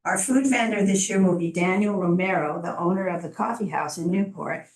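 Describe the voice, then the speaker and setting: A soft-voiced woman presenting to a city council as a chamber of commerce director, friendly and informal, with small restarts. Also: on the low side, brisk. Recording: echoey council chamber from far off.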